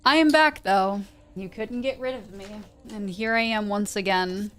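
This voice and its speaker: A woman's voice: mid-range voice, moderate pace, fairly bright tone. A woman hosting a livestream read-along, chatting casually in a playful, rambling way, with English as her second language. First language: not English. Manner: playful, rambling, breaks into sound imitations. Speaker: livestream host reading pulp science fiction aloud. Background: faint music.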